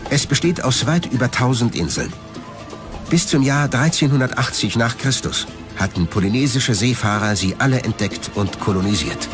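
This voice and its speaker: A man's voice: medium pitch, lively intonation, moderate pace, music in the background. A man reading scripted narration as the narrator of a documentary.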